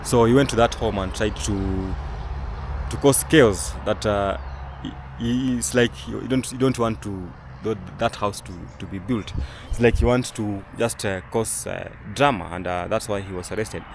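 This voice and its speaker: A man's voice: fairly low pitch, normal speed. Young man rambling outdoors. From Kenya. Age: young adult. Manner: rambling, many fillers and restarts. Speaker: travel vlogger. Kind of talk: casual commentary to camera. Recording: outdoor recording with traffic noise.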